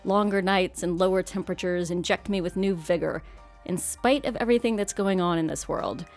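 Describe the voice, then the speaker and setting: A woman's voice: on the low side, brisk, soft background music. A woman hosting a radio horror show, reading a scripted introduction in an arch, wry, theatrical manner.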